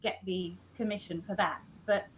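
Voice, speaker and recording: A woman's voice: mid-range voice, fairly even intonation, a little hiss or hum, rough recording. A woman, a scriptwriter, talking through her work in an interview, often stopping and restarting her sentences. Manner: halting, self-correcting, many restarts. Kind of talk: conversational interview. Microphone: video call.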